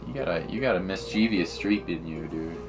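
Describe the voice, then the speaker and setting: A man's voice: low voice, brisk, gravelly, over music, low-quality recording. A man streaming a game, chatting casually in a rambling, teasing, slangy way. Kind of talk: casual chat while playing.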